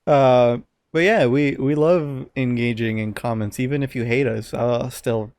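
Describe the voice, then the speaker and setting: A man's voice: mid-range voice, steady tone, a little slow, a little mellow, no echo. A young man co-hosting a podcast in an informal, slightly sardonic conversational style. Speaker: podcast co-host. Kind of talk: casual podcast chat.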